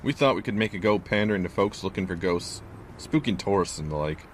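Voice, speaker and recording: An actor playing a gruff, wary older landlord of a haunted house, curt and folksy, in an audio drama. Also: male voice, deep voice, animated, fairly quick. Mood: wry, ominous. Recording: clear background noise.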